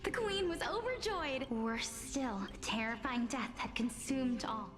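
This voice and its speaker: A woman voicing a curious, well-spoken young scholar in a video game, expressive like a storyteller. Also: high-pitched, a little slow. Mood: storytelling. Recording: game music behind voice.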